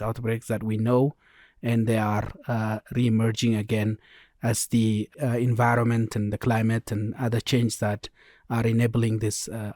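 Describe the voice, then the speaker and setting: A man with an Ethiopian accent, explaining as an expert guest in a podcast interview in a measured way. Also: fairly low pitch, darkish tone, dry recording, studio quality. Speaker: genomics programme lead, interview guest.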